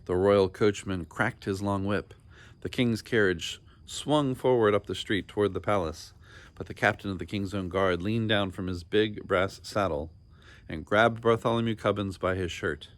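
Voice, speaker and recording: Low-pitched man reading a children's story. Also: normal speed. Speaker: storybook reader. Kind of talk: expressive scripted children's story narration.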